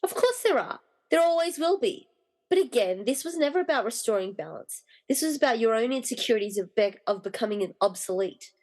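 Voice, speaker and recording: A woman's voice: on the high side, lively intonation, brisk, darkish tone. A woman playing a determined, defiant wife in a radio play, indignant and blunt as she challenges a villain. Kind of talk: hobby podcast radio play acting.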